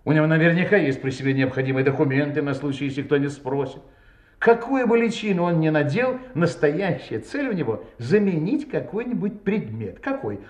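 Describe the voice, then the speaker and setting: A man acting a shrewd, assured police inspector in an audio drama, speaking with theatrical, deliberate emphasis. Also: a little high, lively intonation, a little mellow, roomy sound, close to an everyday voice. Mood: confident, explanatory.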